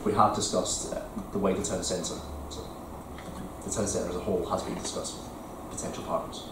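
A man answering councillors' questions at a meeting in a measured, explanatory way with frequent ums. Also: low voice, steady tone, background noise. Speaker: council regeneration officer. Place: large council committee room. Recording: echoey committee room from far off.

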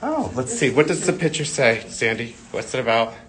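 A man's voice: medium pitch, sing-song, normal speed, a crowd in the background. A man in his forties, losing his voice, chatting casually while walking a live-stream tour of a church building and reading viewer comments aloud, his phone held at arm's length. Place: indoor church building hallway.

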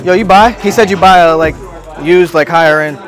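A man's voice: sits high, brisk. A young man in his twenties hosting a prank vlog, talking laid-back and slangy with plenty of swearing, on a lapel mic inside a convention hall. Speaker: YouTuber host. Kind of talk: casual prank vlog chat. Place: indoor sneaker convention hall. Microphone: clip-on lapel mic. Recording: loud crowd and music behind.

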